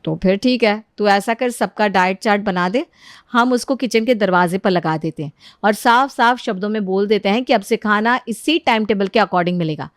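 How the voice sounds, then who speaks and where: A woman voicing a weary, practical elder sister-in-law in an animated family story, wry and complaining. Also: mid-range voice, moderate pace, no echo, clean studio sound, close to an everyday voice.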